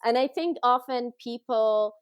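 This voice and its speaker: A woman's voice: on the high side, a little slow, clean tone, no echo, muffled recording. A middle-aged woman from Iran telling her personal story conversationally as a podcast guest, in a heartfelt way.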